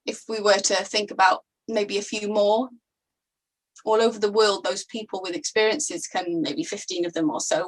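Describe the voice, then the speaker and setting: Thoughtful woman, unhurried. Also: medium pitch, rough recording. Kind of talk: voiced interview conversation.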